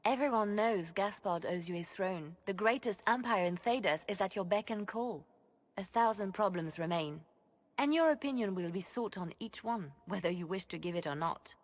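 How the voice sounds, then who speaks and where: A woman playing a shrewd spymaster in a video game, speaking in a measured, formal, knowing way. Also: mid-range voice, lively intonation, close to an everyday voice. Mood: wry, assured. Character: composed, shrewd female spymaster advising her lord. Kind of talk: video game cutscene voice acting. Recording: music behind the dialogue.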